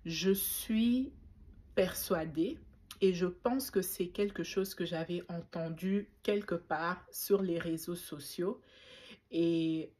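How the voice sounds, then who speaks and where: A woman's voice: on the low side, a little mellow. A woman in her forties from Belgium, talking reflectively to camera, with frequent fillers and restarts. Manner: reflective, faith-inflected, many fillers and restarts. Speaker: YouTuber. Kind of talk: casual talk to camera.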